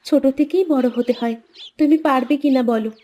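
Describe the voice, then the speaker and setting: A woman's voice: sits high, brisk, mellow, lightly acted. A woman voicing a practical, encouraging village housewife in a cartoon moral story, with an earnest, coaxing delivery. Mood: brisk.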